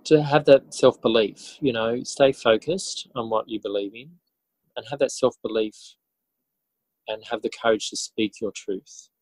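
A man answering as an interview guest in a casual, halting way, thinking aloud as he goes. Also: mid-range voice, steady tone, a little mellow, no echo, rough recording. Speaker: interview guest, author and educator.

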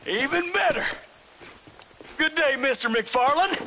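An actor voicing a cocky, menacing, gleeful outlaw in a video game, with a mocking Western American drawl. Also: male voice, extremely high pitch, lively intonation, slow, sharp tone, slight background noise, heavily acted, low-quality recording. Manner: drawling, mocking sing-song calls. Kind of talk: video game cutscene acting.

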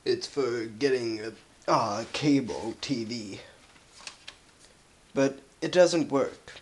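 A young man hosts a casual teardown video, his voice crackly from a cold. He talks slowly and haltingly, often clearing his throat. Faint noise sits under the camera mic in a carpeted room indoors. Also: mid-range voice, darkish tone. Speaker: YouTube host. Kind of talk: casual teardown vlog narration.

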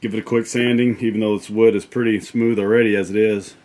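A man in his thirties casually talking through a home building project, relaxed and rambling with lots of fillers and restarts. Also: lowish voice, fast talker. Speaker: DIY vlogger. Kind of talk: casual how-to narration. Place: tiny house interior and outdoor deck.

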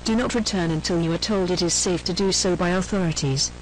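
Low-pitched woman announcer over humming noise. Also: low-quality recording. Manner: formal, measured, impersonal. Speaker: emergency broadcast announcer. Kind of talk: scripted emergency announcement narration.

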